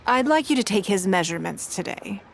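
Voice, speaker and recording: A woman's voice: mid-range voice, expressive, a little fast, creaky, slight background noise. A woman voicing a poised, dignified noblewoman in a video game, formal and composed with a cool edge of exasperation.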